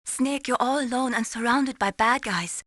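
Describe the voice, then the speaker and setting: A woman playing a caring young Chinese-American radio support operator in a video game, earnest, encouraging and gently chiding. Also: on the high side, normal speed, dry recording, clean studio sound. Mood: gently advising.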